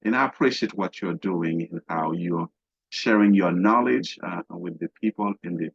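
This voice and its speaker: Gravelly deep-voiced man. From Haiti. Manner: halting, many fillers and restarts. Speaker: guest, business coach. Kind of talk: interview. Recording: dull, dry lo-fi sound.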